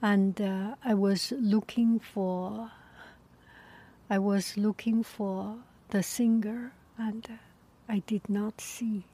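Slow, breathy whispering woman. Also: mid-range voice, steady tone. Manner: soft whispering, halting with many pauses. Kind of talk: whispered personal storytelling.

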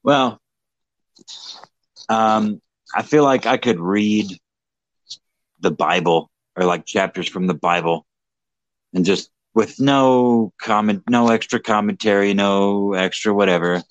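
Low-voiced young man, rambling and tipsy. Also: takes it slowly, pauses often, no room sound, highs cut off. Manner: rambling, swears often, self-mocking. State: slightly drunk. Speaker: livestream co-host. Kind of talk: casual commentary chat.

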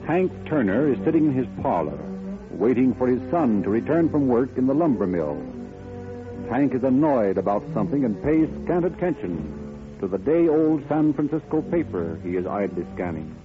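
A muffled old radio recording with music carries a man's mid-pitched voice. He announces and narrates from a script in 1930s radio style. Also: big pitch swings, moderate pace.

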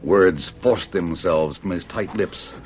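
A man's voice: deep voice, slow, soft background music. A man narrating an old-time radio drama in a grave, emphatic, suspenseful style. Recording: muffled vintage radio recording.

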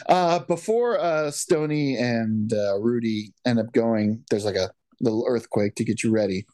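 A man's voice: mid-range voice, a little slow, dark tone, dry recording. A man co-hosting a podcast, recapping a movie in casual chat with lots of restarts. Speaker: podcast co-host.

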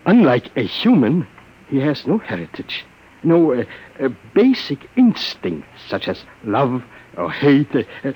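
An actor playing a proud, secretive professor who stammers fussily, his voice husky, in an old radio drama. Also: medium pitch, wildly swooping pitch, unhurried, lo-fi sound, heavily acted. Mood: proud, guarded. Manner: stammering, fussy, indignant. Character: flustered, proud male professor, secretive inventor. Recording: vintage radio recording.

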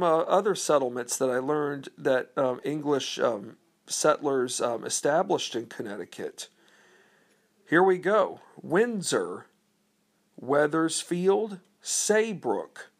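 A man with a slightly high voice talks through a history lesson off the cuff. He hesitates often, filling gaps with ums and uhs. He poses questions to his listeners and then answers them. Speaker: history presenter. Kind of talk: unscripted explanatory talk.

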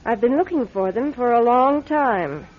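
A woman's voice: on the high side, animated, a little slow. An actress playing a determined, manipulative young woman in a classic radio drama, with an intense, insistent delivery. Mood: measured, intent. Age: young adult. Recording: muffled radio recording with noticeable noise.

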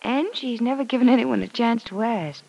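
An actress in an old-time radio drama playing a troubled, principled working woman, earnest and gently firm. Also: a little high, moderate pace, vocal fry, low-quality recording. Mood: earnest, resigned.

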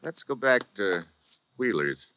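An actor playing a tough, wry American investigator in an old-time radio drama, with clipped hard-boiled delivery. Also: male voice, fairly low pitch, big pitch swings, measured pace. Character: tough wisecracking male American investigator posing as correspondent. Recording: dull, hissy vintage radio recording.

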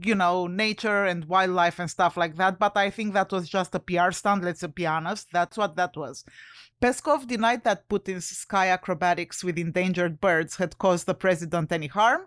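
A woman's voice: lowish voice, moderate pace, fairly bright tone, dry recording. Brief: woman, podcast co-host, chatty and laughing, dry close sound. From Romania. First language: Romanian. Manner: chatty, laughs often, occasional word slips. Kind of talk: casual podcast chat.

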